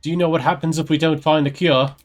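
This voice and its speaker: A slightly high male voice for a curious adventurer played by a gamer in a fantasy video game. He sounds conversational and questioning, with soft music behind him. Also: fairly even intonation, quick pace, natural voice. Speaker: gamer voicing his player character. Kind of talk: reading game dialogue aloud in character.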